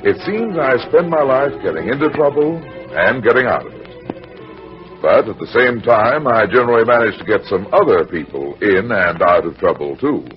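An actor playing an unruffled, tough American private detective in an old-time radio crime drama. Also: male voice, medium pitch, animated, moderate pace, lightly acted. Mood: wry, reflective. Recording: muffled vintage radio recording, music underneath.

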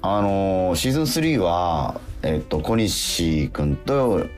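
A man's voice: low-pitched, big pitch swings, slow, faint music behind. A man hosting a radio show, chatting casually and reading listener mail aloud with frequent interjections.

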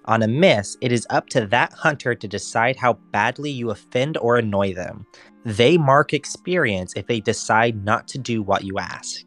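A woman's voice: a bass voice, normal speed, faint music behind. A woman narrating as game master and plainly explaining the rules of a tabletop game.

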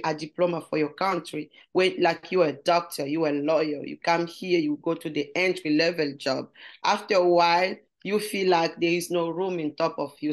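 A middle-aged woman from Congo with a Congolese French accent, telling her story in an interview and searching earnestly for English words. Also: low voice. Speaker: guest. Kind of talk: interview conversation.

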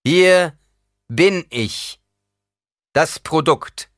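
A man's voice: on the low side, very animated, fairly quick, fairly bright tone, no room sound, clean studio sound. A male narrator voicing a defiant, commanding young man in an audiobook, declaiming with scorn and force. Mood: loud, triumphant.

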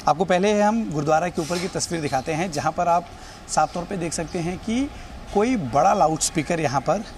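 Male field reporter, high-pitched voice, explanatory on-location delivery, clearly noisy city exterior. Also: moderate pace. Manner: explanatory, demonstrative, addressing viewers directly. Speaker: television news field reporter. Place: outdoors on city streets. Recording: noisy outdoor urban location with traffic.

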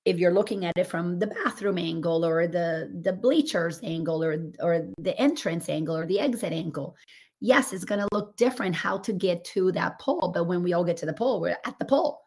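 A woman coach whose voice is raspy after laryngitis, talking earnestly and expansively over a remote call. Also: fairly low pitch, brisk, a little mellow. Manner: earnest and expansive, building on images. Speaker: coach and guest. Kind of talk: casual spiritual podcast chat.

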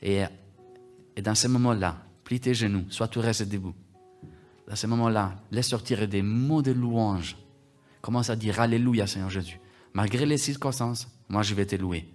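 A man from Italy, preaching a livestreamed sermon that repeats its phrases and builds from quiet talk to loud exhortation. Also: lowish voice, moderate pace, faint music behind. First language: Italian.

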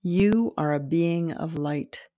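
A woman narrating a guided meditation as host, in a soothing, deliberate manner with pauses between short phrases. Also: low-pitched, warm tone, dry recording, studio quality.

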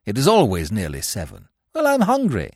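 A stiff young gentleman, played by a male narrator, pompous and quick to indignation. The pitch moves dramatically, and the recording is dry and close, in audiobook manner. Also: huge pitch swings, gravelly, no echo, heavily acted. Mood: irritable.

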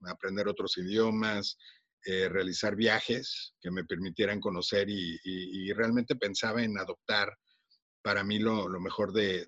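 A man's voice: on the low side, no room sound, lo-fi sound. A Mexican man in his forties with a Mexican accent, hosting a podcast and telling his own story casually, with many fillers and restarts. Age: 40s. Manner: warm, unhurried, frequent fillers and restarts. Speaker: podcast host.

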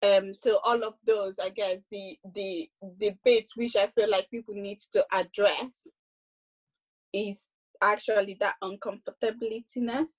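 A young woman speaking on a discussion panel, reflective and hesitant, with frequent pauses and restarts. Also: mid-range voice, fairly even intonation, low-quality recording. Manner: hesitant, many ums and restarts.

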